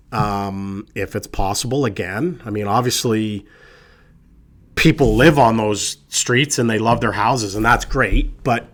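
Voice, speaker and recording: A man, a real estate agent giving casual, reassuring advice to camera, hoarse from a cold and chatty with lots of fillers. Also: fairly low pitch, a bit bright. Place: indoors with a colleague present.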